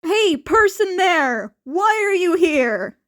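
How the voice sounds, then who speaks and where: Woman putting on a wary, high-voiced teenage boy. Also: big pitch swings, slow, fairly bright tone, full character voice. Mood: challenging. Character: wary, confused teenage boy with memory problems.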